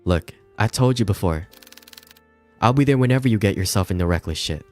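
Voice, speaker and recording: A man's voice: lowish voice, expressive, fairly quick, darkish tone, lightly acted. A man voicing a weary, blunt male detective in a visual novel, with a tired, dry way of talking. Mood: gruffly caring. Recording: music behind the voice.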